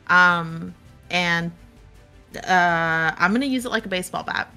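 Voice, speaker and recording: A woman playing a tabletop game, halting and filling pauses with ums. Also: lowish voice, sharp tone, smooth, soft background music. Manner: halting, many fillers. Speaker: player.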